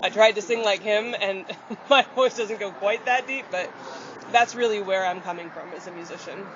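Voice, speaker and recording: Chatty woman singer on a noisy street. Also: moderate pace. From rural Michigan, USA. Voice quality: deeper voice. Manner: chatty and open, with frequent fillers and light laughs. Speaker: country singer and accordionist. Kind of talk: casual interview answers. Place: outdoors on a city street. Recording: dull outdoor audio with loud traffic.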